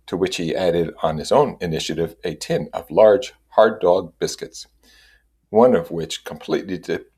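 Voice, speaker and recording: Deep, husky man reading a novel aloud. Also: darkish tone. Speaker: reader and commentator.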